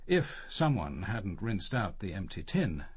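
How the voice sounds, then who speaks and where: Husky, measured police inspector. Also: male voice, sits low, very animated, no echo, heavily acted. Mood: persistent. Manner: formal, politely probing. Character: measured, probing male police detective inspector, courteous but persistent. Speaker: actor in a radio detective drama. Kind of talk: radio drama dialogue.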